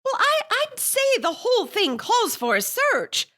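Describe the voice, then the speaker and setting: An actress playing an anxious, demanding, self-pitying invalid wife in a suspense radio drama, fretful and flustered. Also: female voice, very high-pitched, huge pitch swings, a little slow, bright, forceful tone, exaggerated voice. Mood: indignant, demanding. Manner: fretful, indignant, flustered with stammered restarts.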